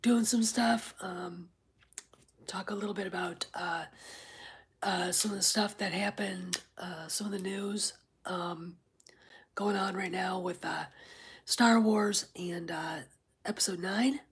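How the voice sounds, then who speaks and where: Husky, congested woman rambling to chat. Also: mid-range voice, steady tone, slow pace, long pauses. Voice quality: very husky, often near a whisper. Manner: rambling, many fillers, greets chat viewers. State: congested, stuffed-up nose. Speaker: livestream host. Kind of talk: casual livestream chat.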